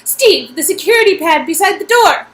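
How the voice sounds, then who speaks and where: A woman's voice: very high-pitched, expressive, normal speed. A woman voicing a devoted, urgent heroine in a read-aloud game story.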